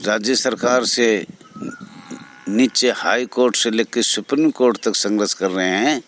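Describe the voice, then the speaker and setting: An older man giving an informal address as an association leader, exhorting his fellow members in halting, repetitive phrases. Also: fairly low pitch, fairly bright tone. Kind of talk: informal spoken address to fellow home guards.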